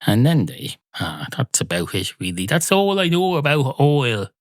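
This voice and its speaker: A man's voice: mid-range voice, sing-song, a little slow, no echo, studio quality. A man playing a muddled, pedantic barman who rambles and repeats himself in a comic monologue. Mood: explaining.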